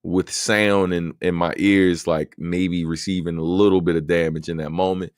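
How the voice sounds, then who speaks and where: A man with a very low, deep voice hosts a laid-back live Q&A, talking easily and at length with lots of "um" and "like". The sound is dry and close, with no room echo. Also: moderate pace. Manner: relaxed, talkative, many fillers. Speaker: host. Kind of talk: casual livestream Q&A.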